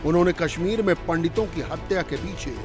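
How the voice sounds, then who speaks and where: A man's voice: a little high, a little fast, music in the background. A man reading a scripted television news report as its narrator.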